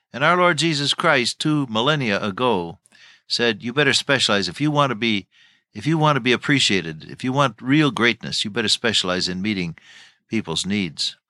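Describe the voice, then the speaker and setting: Older man, bright voice, dry close sound, folksy chuckling Bible teacher. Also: mid-range voice, no echo.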